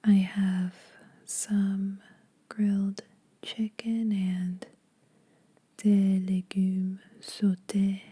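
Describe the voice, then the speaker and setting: A woman acts out an ageless female vampire in an ASMR roleplay, teasing yet secretly kind to mortals. She speaks in a very breathy whisper, extremely soft and dark in tone. Her delivery is intimate and very slow, with little rise and fall. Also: mid-range voice, level tone, full character voice. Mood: teasing, coaxing.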